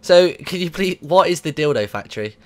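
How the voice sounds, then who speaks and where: A man's voice: fairly high pitch, animated, a little fast, a little mellow. A man co-hosting a radio show in casual comic banter, jokey and given to exclaiming. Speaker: radio co-host.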